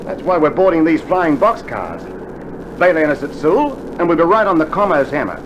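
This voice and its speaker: A touchy aussie soldier keen for a fight, emphatic and very expressive, old noisy radio drama record. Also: male voice, a little high, moderate pace, muffled recording. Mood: earnest, insistent. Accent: Australian. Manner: emphatic, exasperated retorts. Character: earnest, irritable Australian infantry soldier, itching for battle. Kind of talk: scripted mid-century radio drama acting.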